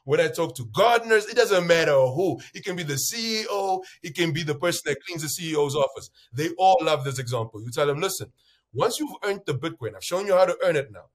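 A loud-voiced man from Namibia talks in an interview about his work. He tells his stories with energy, slipping into quoted voices. His voice sits a little high for a man. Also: brisk. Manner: acts out bar conversations, lively quoted voices. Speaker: bitcoin entrepreneur guest. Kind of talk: animated interview storytelling.

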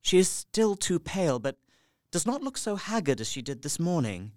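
Earnest young diarist, dry sound. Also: male voice, a little high, very animated, normal speed, darkish tone, no room sound. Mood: tender, anxious. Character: earnest young English solicitor, devoted husband, writing journal. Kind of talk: dramatized diary narration.

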